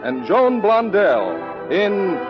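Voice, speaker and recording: A man's voice: sits high, huge pitch swings, drawn-out words. A man making formal, declamatory scripted announcements in the style of 1940s radio. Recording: dull old radio recording, music behind.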